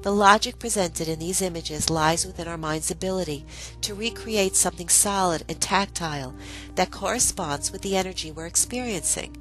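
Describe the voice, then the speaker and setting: Female narrator, low register, scripted memoir storytelling, deliberate dramatic phrasing, light music bed underneath. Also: fairly bright tone.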